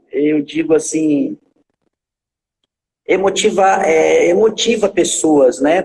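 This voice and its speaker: This is a man in his forties with a fairly high, gravelly voice, telling his own story in a halting way full of fillers and restarts. He sounds a little far from the microphone, in a space with a long reverb tail, and a faint hiss sits underneath. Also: darkish tone. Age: 40s. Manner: halting, with many filler pauses and repetitions. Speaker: guest pastor. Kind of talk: telling his life story in a live stream.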